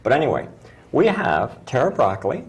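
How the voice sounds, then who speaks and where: A woman hosting a talk show, hoarse from allergies, chatting casually and often restarting her sentences. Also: extremely low pitch, big pitch swings, normal speed, darkish tone. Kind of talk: casual studio chat.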